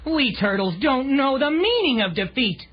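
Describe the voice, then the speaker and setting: A man playing a cocky, joking teenage mutant turtle, excitable, in a children's audio drama on dull tape. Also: very high voice, moderate pace, full character voice. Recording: dull lo-fi tape, loud music behind.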